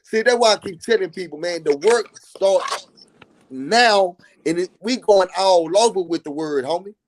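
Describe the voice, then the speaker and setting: Earnest high-voiced man preaching. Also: normal speed, poor audio. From Dallas, Texas. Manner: earnest, preachy, repeats himself for emphasis. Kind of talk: casual live stream chat.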